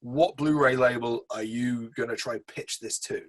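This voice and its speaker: A man's voice: mid-range voice, fairly even intonation, normal speed. A man hosting a casual film review chat, speaking in a chummy, slangy and enthusiastic way. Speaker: film review show host.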